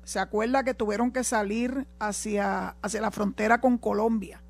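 Radio call-in host: older woman, Puerto Rican accent, direct and emphatic political talk, mid-pitched voice. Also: fairly even intonation, normal speed, creaky.

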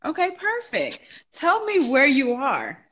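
A woman's voice: high voice, wildly swooping pitch, takes it slowly, fairly bright tone, lo-fi sound. A woman hosting a casual podcast interview who asks encouraging, upbeat questions.

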